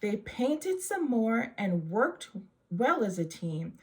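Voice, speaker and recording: A woman reading a rhyming children's story aloud in a measured, verse-following delivery. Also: a little high, sing-song. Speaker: storybook reader.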